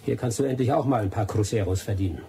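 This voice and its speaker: An actor playing a wily, vengeful expatriate adventurer in a German radio drama, with a sardonic, persuasive way of talking. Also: male voice, on the low side, fast talker, mellow, slight background noise, lightly acted. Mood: scornful, goading.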